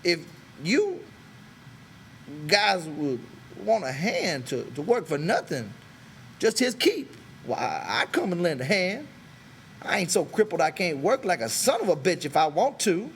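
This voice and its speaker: A man voicing a bitter, proud American stable hand who talks scornfully and forcefully, in a dramatic audiobook style. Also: high voice, huge pitch swings, unhurried, a little hiss or hum, pitched above the performer's own voice, heavily acted. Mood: wary, hopeful. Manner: scornful, brutal, forceful rural American talk.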